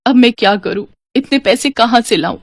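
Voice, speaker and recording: A woman's voice: on the high side, fairly quick, dry recording, studio quality, voice pushed up, close to an everyday voice. Woman acting an earnest, devout, determined wife. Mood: worried, praying. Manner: earnest, reassuring. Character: devout, devoted young mother and wife, determined.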